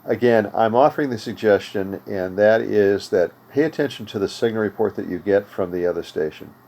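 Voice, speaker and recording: An older American man speaks in a deep, low register as he teaches informally. His explanations come in measured, halting stretches with pauses between thoughts. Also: vocal fry, darkish tone. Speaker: host of amateur radio tutorial series. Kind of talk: informal instructional talk. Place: home radio room.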